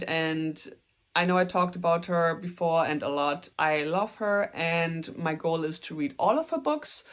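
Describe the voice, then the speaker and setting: A young woman with a German accent, chatting earnestly to camera about books she plans to read, with a few soft, self-conscious laughs. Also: medium pitch. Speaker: booktuber. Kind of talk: casual book talk to camera.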